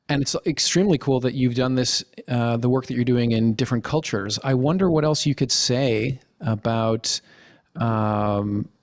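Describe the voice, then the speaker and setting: A man hosting a podcast, asking long follow-up questions and stumbling over restarts as he thinks aloud. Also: mid-range voice. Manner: stammering restarts, curious. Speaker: podcast host. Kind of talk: interview questions.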